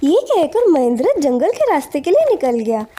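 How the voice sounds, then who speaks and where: Very high-pitched woman storyteller. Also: big pitch swings, moderate pace, warm tone, slight background noise. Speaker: narrator. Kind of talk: scripted storybook narration.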